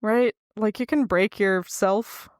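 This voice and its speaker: A woman's voice: medium pitch, slow, no echo, clean studio sound. A woman co-hosting a podcast in casual, conversational talk. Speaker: podcast co-host. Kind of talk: casual chat.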